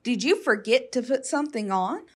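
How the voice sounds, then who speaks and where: A woman voicing a firm, chiding mother frog who calls out in a drawn-out singsong in a storybook. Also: on the high side, animated. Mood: chiding, calling.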